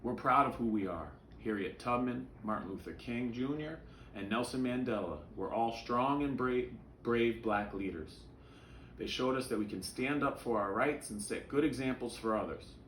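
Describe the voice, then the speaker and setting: A man voicing a patient, earnest storybook mother who explains things in a gently instructive way. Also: mid-range voice, normal speed, reverberant, slight background noise, natural voice.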